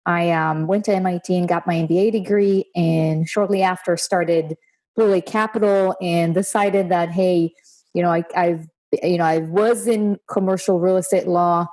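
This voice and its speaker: A woman in her thirties from Israel, a guest on an interview, talking relaxed and fluent with filler words. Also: lowish voice, moderate pace, rough recording. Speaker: guest, real estate investor. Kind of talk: conversational interview.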